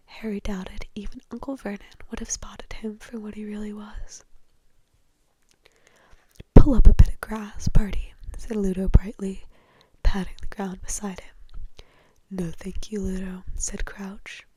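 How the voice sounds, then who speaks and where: Female ASMR storybook reader: whispered, mellow delivery, informal, in a roomy space. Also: medium pitch. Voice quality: breathy, whispered. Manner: informal, stumbles over words and comments on the text. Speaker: reader reading a novel aloud.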